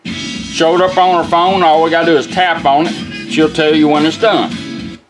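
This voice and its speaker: A man with a slightly high voice walks through an informal product demo. He sounds folksy and instructional, explaining things step by step. Guitar music plays clearly behind him. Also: fairly even intonation, a little slow, poor audio. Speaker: product demonstrator. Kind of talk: informal hands-on product demonstration.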